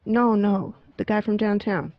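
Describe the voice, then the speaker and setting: A woman playing a pushy, gruff-talking mobster in an amateur radio crime drama. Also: mid-range voice, measured pace, no room sound, natural voice. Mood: insistent. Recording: dull lo-fi dry home recording.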